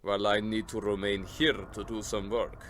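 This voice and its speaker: An actor playing an imperious, sinister vampire count in a radio drama, commanding yet formally courteous. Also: male voice, lowish voice, level tone, moderate pace, a bit bright, a little hiss or hum, close to an everyday voice. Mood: controlled, courteous.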